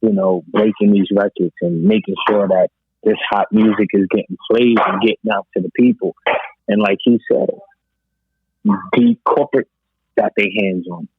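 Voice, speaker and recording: Husky, phone-line man in casual debate. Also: fairly low pitch, animated, normal speed, no room sound. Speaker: DJ and podcast panelist. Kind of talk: casual panel discussion about hip-hop. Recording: thin, phone-like call audio.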